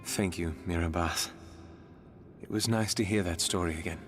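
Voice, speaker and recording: A man's voice: sits low, moderate pace, music in the background, natural voice. A man voicing a weary, troubled inspector. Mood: earnest. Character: weary male city inspector, troubled but fond. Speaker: game character. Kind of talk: video game voice acting.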